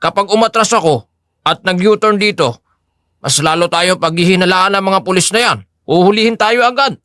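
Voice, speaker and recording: A man playing a chatty, deferential taxi driver in an audio drama, animated, colloquial and polite. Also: high-pitched, moderate pace, dry recording, studio quality. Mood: alarmed, wary. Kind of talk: audio drama acting in Tagalog.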